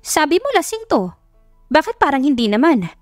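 A woman voicing a nosy, suspicious and excitable middle-aged mother in an audio romance story. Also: sits high, moderate pace, studio quality, voice pushed up. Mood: curious, astonished. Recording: roomy echo, music bed behind.

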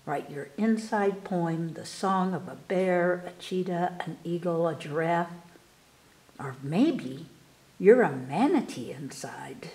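A woman reading children's poems aloud at storytime, expressively and with careful, animated delivery for young listeners. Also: fairly low pitch, roomy sound. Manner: animated, unhurried, enunciating for children. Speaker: library storytime reader. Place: indoors in a room, book filmed on table.

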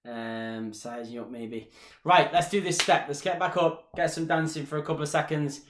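A man's voice: on the high side, moderate pace, slight background noise. A man in his thirties with a Mancunian Irish accent, chatting casually with viewers during a live stream from his living room. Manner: chatty, gestures a lot while talking. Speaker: dance instructor answering viewer questions. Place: small living room at home, indoors. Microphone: webcam at desk distance. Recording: roomy home webcam sound.

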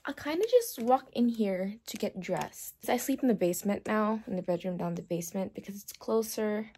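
A woman's voice: medium pitch, moderate pace, a little hiss or hum. A young woman talking casually to her phone camera in her bedroom while she tidies up. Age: young adult. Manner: relaxed, chatty, talks to the camera. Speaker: vlogger. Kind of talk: casual vlog narration. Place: home bedroom, indoors. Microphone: phone camera held close. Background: lo-fi background music.

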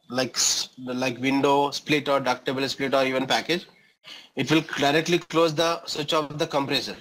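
Indian guy teaching engineering, middle aged, normal pitch, keeps checking you get it. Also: a bit bright, a little hiss or hum, rough recording. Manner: checks understanding with short tags and questions. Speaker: engineering instructor. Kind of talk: explanatory classroom lecture.

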